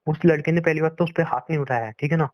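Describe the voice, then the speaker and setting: A man's voice: a little high, rapid-fire, no room sound, highs cut off. A young man arguing in a private phone conversation, insistent and pleading, often repeating himself. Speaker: boyfriend on a phone call.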